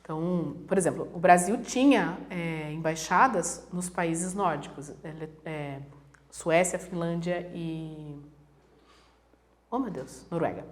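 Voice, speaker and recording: A woman's voice: fairly low pitch, slow pace. A woman from Brazil, a guest professor, explaining things conversationally and acting out examples in quoted voices. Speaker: guest, international relations professor.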